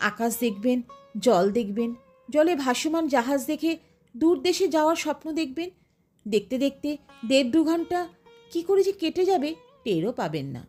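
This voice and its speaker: A woman reading a literary story aloud as an expressive audiobook narrator. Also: high-pitched.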